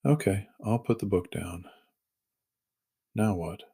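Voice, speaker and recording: A man's voice: lowish voice, slow pace, warm tone, husky, no echo, clean studio sound, exaggerated voice. A man playing a devoted, flirtatious lover in intimate romantic dialogue, teasing and suggestive in manner.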